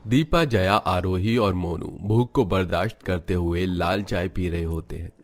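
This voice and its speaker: Deep-voiced male story narrator. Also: measured pace. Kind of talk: scripted dramatic narration of a cartoon story.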